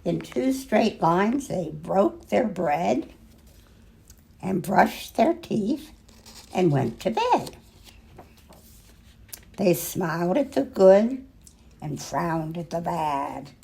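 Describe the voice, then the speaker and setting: Older female storyteller, very creaky, mellow tone, expressive children's read-aloud with dramatic pauses. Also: on the low side. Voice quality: husky, gravelly. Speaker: storyteller reading a picture book aloud.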